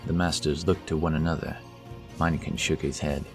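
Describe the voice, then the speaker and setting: A man's voice: a bass voice, little pitch movement, a little fast, darkish tone. A man narrating a scripted fantasy audio story. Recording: music under the voice. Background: background music.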